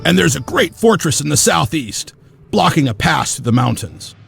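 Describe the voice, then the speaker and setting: An actor playing an earnest scout reporting to his leader in a video game, with a gravelly voice. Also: on the high side, sing-song, moderate pace, a bit bright, faint music behind, exaggerated voice. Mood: alert.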